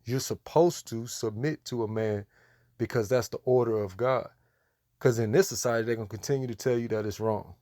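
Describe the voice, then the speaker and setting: A man's voice: mid-range voice, fairly quick, mellow, dry recording, clean studio sound. A man with an American accent giving preachy reaction commentary in an admonishing, repetitive and emphatic manner. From United States. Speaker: religious commentator.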